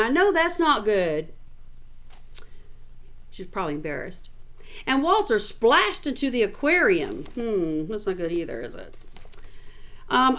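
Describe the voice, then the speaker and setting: A woman reading a picture book aloud in an animated, expressive way, with chatty asides to the listener. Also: a little high. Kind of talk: animated storybook read-aloud with casual asides.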